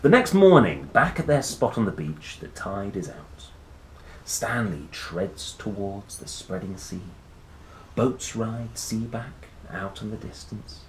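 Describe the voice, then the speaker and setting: A man reading a children's picture book aloud in a playful, expressive manner, with chatty asides. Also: lowish voice. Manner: playful, expressive, dramatic pauses and exclamations. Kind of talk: animated storybook read-aloud with chatty asides. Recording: roomy echo, faint background noise.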